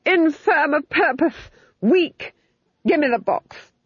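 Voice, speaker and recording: A woman plays a haughty, cruel Duchess in an audiobook, issuing clipped, imperious commands. The voice is husky and highly varied in pitch, with an impatient menace, on a slightly dull, dry recording. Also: huge pitch swings, takes it slowly, a little mellow, dry recording, heavily acted. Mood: impatient scorn. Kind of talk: audiobook character voice.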